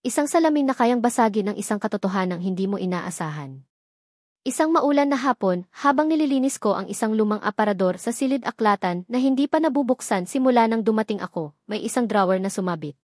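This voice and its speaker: A woman's voice: mid-range voice, expressive, quick pace, a little mellow, no echo, clean studio sound. A woman reading a dramatic first-person story as a scripted narration.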